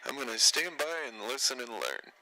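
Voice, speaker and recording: A man's voice: mid-range voice, sing-song, a little fast, bright, forceful tone. A man in casual, joking radio chat as a CB operator, teasing and mocking others. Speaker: CB radio operator on a live feed. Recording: noisy live radio feed, clear hiss.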